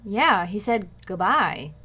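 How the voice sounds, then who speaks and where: A woman playing a brisk, wry, exasperated housewife in an old-time radio comedy. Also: medium pitch, big pitch swings, pushed and bright, full character voice. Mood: matter-of-fact, puzzled. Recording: clearly noisy recording with clicks.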